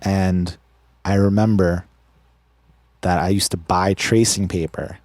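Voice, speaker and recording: A young man from Israel, a guest musician telling his story in a relaxed, unhurried way, with plenty of fillers. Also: sits low, no room sound. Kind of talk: casual interview.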